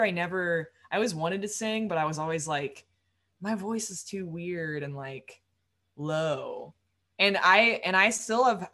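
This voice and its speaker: A young woman musician with a low voice, talking casually in an interview, rambling with lots of fillers and quick laughs. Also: a bit bright. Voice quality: low-register voice. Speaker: musician guest.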